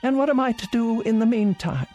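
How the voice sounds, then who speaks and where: An actress playing a lonely, demanding elderly invalid in a radio drama, prim and querulous in manner. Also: female voice, fairly high pitch, a little fast, dark tone, lo-fi sound, faint music behind, natural voice. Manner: querulous, prim, self-pitying.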